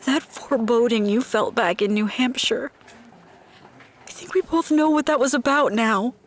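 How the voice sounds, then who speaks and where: An actress playing a shaken, caring woman in an audio drama, speaking in a gentle, hesitant way. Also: on the high side, moderate pace, slight background noise, natural voice. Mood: concerned, reassuring. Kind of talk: naturalistic audio drama acting.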